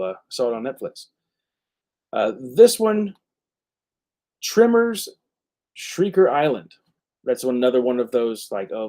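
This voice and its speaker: Male live stream host, dark relaxed tone, casual rambling chat with halting, word-searching delivery. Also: lowish voice.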